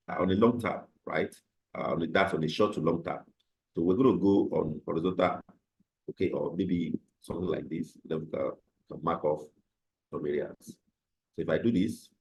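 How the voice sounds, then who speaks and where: Deep husky-voiced man teaching a class. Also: darkish tone, low-quality recording. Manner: measured, explains step by step with fillers. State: slightly hoarse from a cold. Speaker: trading instructor. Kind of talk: live online class, chart analysis.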